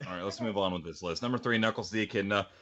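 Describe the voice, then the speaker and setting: A young man hosting a podcast, animated and goofy, giving opinionated list commentary with casual banter. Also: lowish voice, very fast, a crowd in the background. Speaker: podcast host and amateur voice actor.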